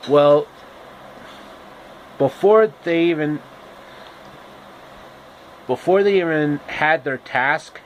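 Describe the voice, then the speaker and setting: A man telling a story informally, with halting, deliberate phrasing and casual asides. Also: fairly high pitch, a little hiss or hum, low-quality recording. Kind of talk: informal, improvised storytelling with pop-culture asides.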